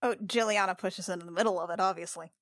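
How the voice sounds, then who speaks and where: Woman player, close dry sound, medium pitch. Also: fairly even intonation, fast talker, fairly bright tone, dry recording. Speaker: player in a tabletop role-playing game. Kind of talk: casual table talk narrating own character.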